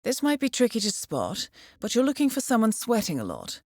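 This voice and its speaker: Composed, expressive female spy handler. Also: on the high side, no room sound, clean studio sound, exaggerated voice. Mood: cool, efficient. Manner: measured, formal, coolly understated. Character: composed female intelligence handler briefing an assassin. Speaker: mission handler. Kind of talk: scripted video game voice acting, mission briefing.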